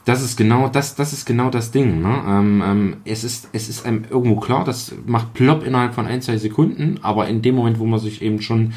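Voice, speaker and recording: A man's voice: medium pitch, brisk, hardly any pauses, a little mellow. A man in a casual podcast chat, talking reflectively in a loose colloquial way with frequent tag questions. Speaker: podcast guest. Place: kitchen.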